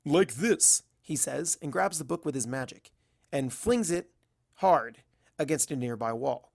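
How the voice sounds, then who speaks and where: A man's voice: mid-range voice, lively intonation, a little slow, creaky, darkish tone. A man reading a first-person story aloud as scripted narration. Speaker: fan fiction reader.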